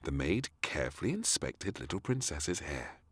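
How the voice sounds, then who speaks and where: A man narrating a scripted children's story in an expressive, theatrical manner. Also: extremely low pitch, normal speed, slightly rough, dry recording, studio quality.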